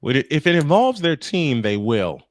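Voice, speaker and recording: A man's voice: on the high side, sing-song, moderate pace, no echo. A man calling a baseball game live as play-by-play host, slipping between commentary and casual chat.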